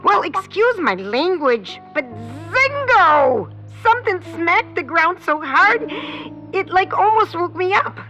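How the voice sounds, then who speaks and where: A woman's voice: extremely high pitch, big pitch swings, slow pace, sharp tone, muffled recording, full character voice. A woman playing an older, unflappable, easily sidetracked farm spinster in a comedic radio drama. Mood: rambling, folksy. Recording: music behind the voice.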